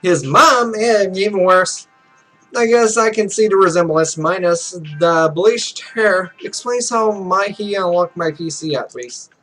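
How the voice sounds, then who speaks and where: A high-pitched male let's player narrates a visual novel out loud and drops in loose, sweary asides of his own. Game music plays behind his voice. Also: unhurried, poor audio. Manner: sweary, loose off-script reactions. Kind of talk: reading visual novel aloud with casual asides.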